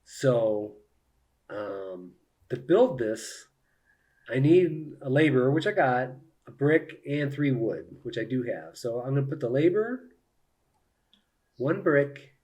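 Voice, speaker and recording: A man's voice: mid-range voice, big pitch swings, slow, long pauses, darkish tone. A man giving casual live commentary on a solo board game, thinking aloud and explaining each move to viewers.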